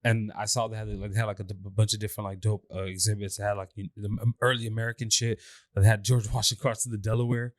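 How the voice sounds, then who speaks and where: A young man co-hosting a podcast, chatting casually and telling lively stories, often jumping into high mocking voices. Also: fast, no room sound, studio quality. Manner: animated storyteller, swings into high mock voices. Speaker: podcast co-host. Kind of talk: casual chat.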